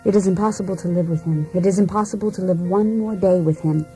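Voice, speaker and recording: An actress playing a cold, disillusioned wife set on breaking free, in a deliberate, introspective radio drama monologue. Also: female voice, lowish voice, mellow, soft background music, lightly acted, poor audio. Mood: resolute.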